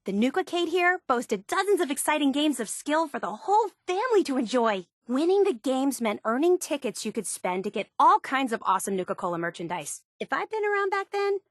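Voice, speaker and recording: Game role: gushing young woman superfan, high voice, very animated, geeky and earnest, dry studio sound. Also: a little fast, hardly any pauses, no echo. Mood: showy, cheerful. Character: eager, obsessive young woman soda superfan and tour guide. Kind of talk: video game character dialogue.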